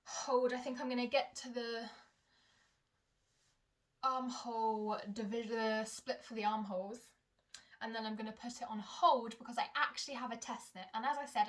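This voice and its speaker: A woman's voice: mid-range voice, a bit bright. A young woman vlogging about her knitting at home, chatting casually and rambling, often correcting herself mid-sentence. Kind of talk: casual chat to camera. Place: home in Germany.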